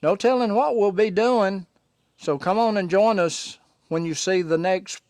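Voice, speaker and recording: A middle-aged man, hoarse from laryngitis, talking casually to the camera as a farm vlog host under a sawmill shed, unhurried and full of pauses and fillers. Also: sits high, darkish tone, no room sound, studio quality.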